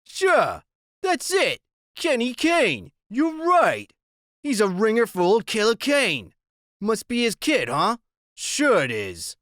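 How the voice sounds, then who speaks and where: A reader voicing a tough middle-aged male biker gang boss who talks in a quiet, bullying, menacing way. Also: mid-range voice, huge pitch swings, slow pace, no room sound, studio quality, pitched above the performer's own voice, full character voice. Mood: pleased.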